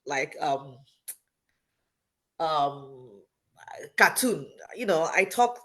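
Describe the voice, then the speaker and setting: A woman who talks loudly and stammers, a guest in a casual online discussion. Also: low voice, expressive, very slow. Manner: loud talker with a stammer. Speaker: panel guest. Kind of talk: casual discussion on a video call. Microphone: video call.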